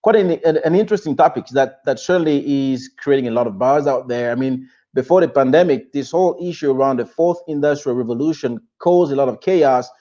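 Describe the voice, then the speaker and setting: Man, talk show host, from the DR Congo, effusive, restarts a lot, middle pitch, dull lo-fi sound. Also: fairly quick, hardly any pauses. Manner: energetic, effusive, with frequent restarts and fillers. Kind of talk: live-stream interview.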